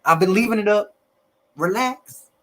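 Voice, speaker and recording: A man hosting a casual live-streamed sports podcast, reading out viewer comments in a relaxed, laid-back way over a video call. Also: high voice, unhurried, noisy background. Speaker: podcast host reading chat.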